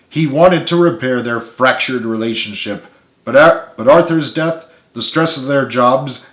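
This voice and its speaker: A man's voice: medium pitch, fairly bright tone. A man reading his own story aloud as a dramatic audiobook narration. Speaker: author reading own book. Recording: echoey room.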